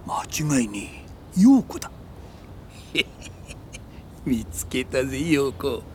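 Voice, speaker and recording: An actor playing a predatory male stalker in a radio drama, with slow, rough, menacing speech. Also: medium pitch, big pitch swings. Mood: gloating menace. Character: menacing, predatory male stalker lurking after his prey. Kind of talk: Japanese radio drama acting. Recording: noisy background.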